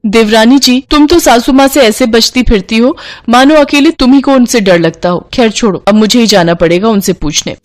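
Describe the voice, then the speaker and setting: A woman's voice: a little high, normal speed, voice pushed up, close to an everyday voice. A woman voicing a fed-up but timid young Indian daughter-in-law, whiny and exasperated, in a story. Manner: whiny, exasperated, polite to elders.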